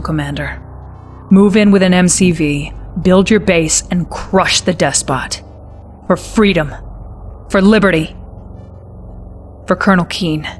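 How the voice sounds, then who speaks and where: Casting: actress as patriotic female army intel officer for game cutscenes, slightly low register, formal, earnest briefing delivery. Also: natural voice. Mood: resolute. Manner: formal, addresses listener as sir.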